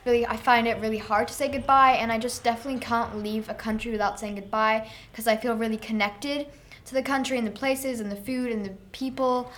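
A teenage girl from France and England speaks sincerely and earnestly as a student guest. Her voice is slightly high. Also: steady tone, fairly quick, a bit bright.

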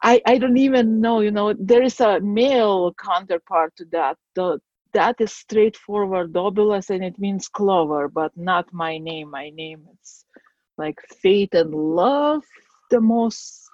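A woman with a Lithuanian accent, a guest designer on a podcast, speaking hesitantly with many pauses and fillers. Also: medium pitch, a little mellow, no echo, highs cut off. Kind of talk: podcast interview.

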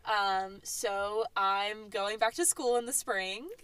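A woman's voice: medium pitch, fairly even intonation, slow pace, a bit bright, clean tone, no echo, rough recording. A young woman with a mid-range voice co-hosts a casual podcast from a parked car. She tosses in wry, teasing jokes and speaks in an easy, conversational way. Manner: wry, joking asides. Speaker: podcast co-host. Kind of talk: casual chat.

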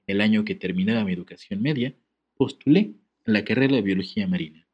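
A man's voice: lowish voice, fast talker, a little mellow, breathy edge, lo-fi sound. A man co-hosting a podcast, reading a letter aloud and breaking off into jokes and laughter. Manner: joking, laughs often, reads with comic asides. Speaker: podcast co-host.

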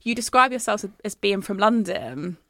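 Gravelly-voiced young woman host. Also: medium pitch, lively intonation, moderate pace, no echo, studio quality. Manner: warm, enthusiastic, laughs readily. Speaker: podcast host. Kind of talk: conversational interview.

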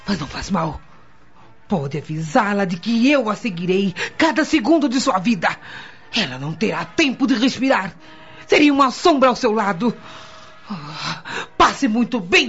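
An actress playing a bitter, sarcastic widow bent on revenge in a radio soap opera. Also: female voice, mid-range voice. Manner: sarcastic, insolent. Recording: muffled audio with music behind.

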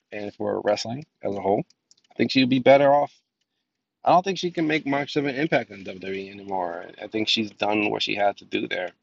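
A man's voice: lowish voice, vocal fry, no room sound, far from the mic. A man hosting a podcast alone, rambling casually with lots of filler words, his voice nasal from a lingering cold. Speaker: podcast host. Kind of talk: casual solo podcast talk. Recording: dull lo-fi, a bit off-mic.

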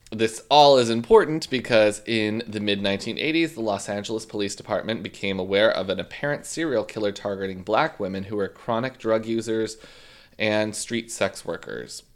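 A man's voice: lowish voice, normal speed. A man co-hosting a casual true-crime podcast, joking and teasing, with a slight lisp. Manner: joking, teasing, swears freely. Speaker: podcast co-host.

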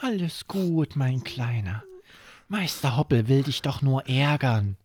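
Man putting on a high-voiced, caring cheeky rabbit. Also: lively intonation, slow, a little mellow, slightly rough, no room sound. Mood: tender, consoling. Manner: playful, teasing, protective. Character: teasing but caring toy rabbit, fatherly boastful companion.

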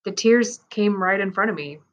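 A woman narrating a man's first-person story aloud, in a measured, reflective and earnest way. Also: mid-range voice.

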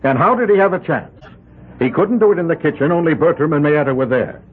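An actor playing a calm lawyer who questions witnesses in measured, methodical turns, in a 1970s radio drama. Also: male voice, on the high side, breathy edge. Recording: thin, phone-like old radio recording.

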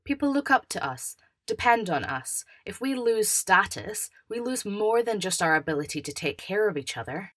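A woman's voice: medium pitch, big pitch swings, normal speed, hardly any pauses, a bit bright. A woman playing an overbearing, self-important elder brother with a preachy, over-hearty manner in a fan audio drama.

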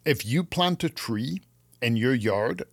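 A man's voice: mid-range voice, animated, slow pace, vocal fry, no room sound, studio quality. A man from England co-hosting a gardening show, chatting casually and opinionatedly, telling stories with acted-out quotes.

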